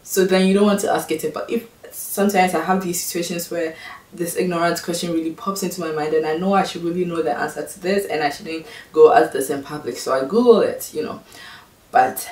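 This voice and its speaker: A woman's voice: fairly even intonation, fairly quick, a little mellow. A young woman from Ghana with a Ghanaian accent, talking to camera in a casual, exasperated and sarcastic vlog. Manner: exasperated, sarcastic, mimics others in high voices. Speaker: vlogger. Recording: echoey room, mic at a distance.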